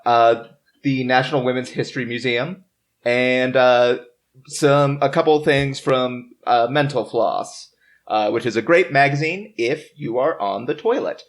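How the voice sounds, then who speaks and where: A man's voice: medium pitch, a little slow. A man hosting a podcast, chatting casually as he reads from notes, with wry, joking asides. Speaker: podcast host. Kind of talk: casual informative chat, reading from notes. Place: in-person group around a table.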